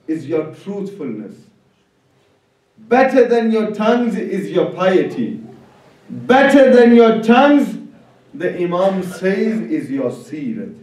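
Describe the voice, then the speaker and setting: Guy giving a religious sermon in a really echoey hall, high voice, slow and emphatic, repeats his phrases. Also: darkish tone. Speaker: preacher. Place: large echoing religious hall. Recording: very echoey hall, distant mic.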